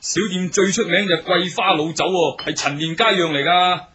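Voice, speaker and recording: Eager, bright-voiced chatty innkeeper. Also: male voice, sits high, moderate pace, muffled recording, a little hiss or hum. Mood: eager salesman. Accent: Hong Kong Cantonese. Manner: deferential, chatty, with many hesitant interjections. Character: eager, talkative, obliging male innkeeper serving travellers. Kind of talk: Cantonese radio drama acting.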